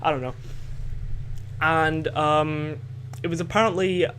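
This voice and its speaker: A man's voice: fairly high pitch, fairly even intonation, slow, a bit bright, a little hiss or hum. A teenage boy from Scotland with a light Scottish accent, hosting a home podcast in a hesitant, rambling way full of fillers and restarts. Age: teens. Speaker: podcast host. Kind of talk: casual rambling monologue.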